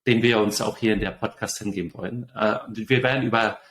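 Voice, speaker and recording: A man's voice: on the low side, steady tone, quick pace, a bit bright. Male podcast interviewer, some background noise. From Germany. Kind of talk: casual interview moderation.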